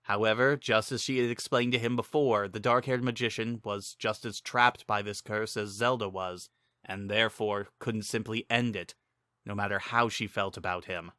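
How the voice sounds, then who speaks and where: A man's voice: fairly low pitch, fairly even intonation, moderate pace, sharp tone, dry recording, studio quality. A man giving a dramatic scripted narration of a fantasy adventure story.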